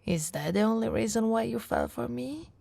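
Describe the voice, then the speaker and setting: A woman from Italy playing an affectionate, teasing female orc warrior in an intimate, soft-spoken ASMR roleplay. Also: on the high side, animated, normal speed, vocal fry, dry recording, exaggerated voice. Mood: playful, bragging. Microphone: close, dry microphone.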